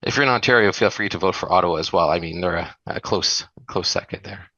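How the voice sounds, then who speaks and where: Deep-voiced, bright-toned male moderator. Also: fast, no echo, dull audio. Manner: light joking asides. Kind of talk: webinar moderating.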